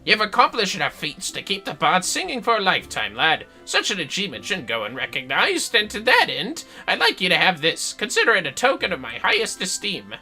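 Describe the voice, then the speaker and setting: A man voicing a hearty, grandly approving official in a video game, reading the dialogue in a loud, formal manner. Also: sits high, wildly swooping pitch, brisk, few pauses, faint music behind, pitched above the performer's own voice, heavily acted. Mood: hearty approval. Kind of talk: video game character dialogue read aloud.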